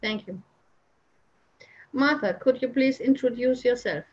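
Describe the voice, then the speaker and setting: A woman's voice: on the high side, measured pace, breathy edge. A woman hosting an online panel, speaking casually over a video call. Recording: muffled internet video call.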